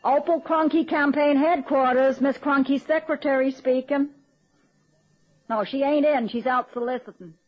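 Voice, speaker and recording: An actress playing a dim, deadpan, folksy female campaign secretary in a radio comedy. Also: high-pitched, moderate pace, lightly acted. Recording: dull lo-fi old radio recording.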